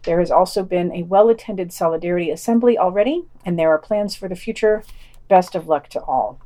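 A woman's voice: on the low side, brisk, warm tone. Woman reading news with dry asides. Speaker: podcast host reading news.

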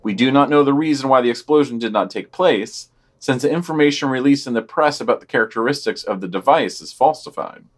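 Deadpan man podcast co-host. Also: mid-range voice, fairly quick. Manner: deadpan, critical commentary. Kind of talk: reading and commenting on news.

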